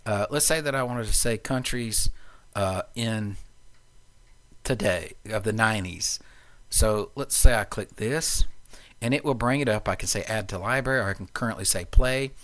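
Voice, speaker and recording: A man presenting an informal, unscripted software tutorial, explaining things step by step. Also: lowish voice, normal speed. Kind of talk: informal screencast walkthrough.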